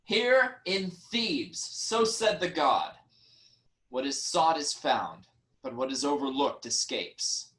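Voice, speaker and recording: A man's voice: a little high, lively intonation, slow pace, a bit bright, rough recording. Man acting emphatic Theban bearer of news. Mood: urgent, emphatic. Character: earnest Theban royal messenger bringing the oracle's news. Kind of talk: staged reading of Greek tragedy.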